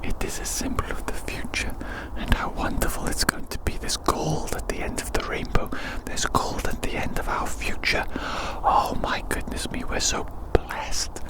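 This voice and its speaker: An ASMR creator rambling chattily in a breathy, unvoiced whisper outdoors, softly repeating phrases. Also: moderate pace, hardly any pauses, poor audio. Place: outdoors in the woods in winter. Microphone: action camera.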